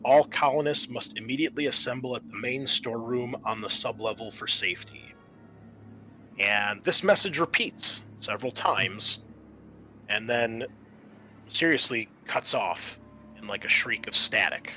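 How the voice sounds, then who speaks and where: A man working as game master, narrating a tabletop roleplaying scenario with measured, storyteller-like scene-setting. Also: mid-range voice, sharp tone, slight background noise.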